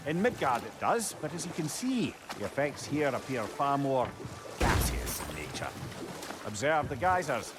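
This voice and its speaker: An actor playing a witty, learned, cantankerous older advisor in a video game, with a Scottish accent and a wordy, theatrical manner. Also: male voice, mid-range voice, big pitch swings, moderate pace, background noise, natural voice. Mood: scholarly, wry. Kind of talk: video game drama acting.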